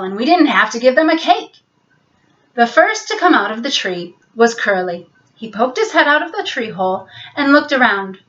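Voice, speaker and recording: A woman storyteller giving an expressive, scripted narration of a children's bedtime story. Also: a little high, lo-fi sound.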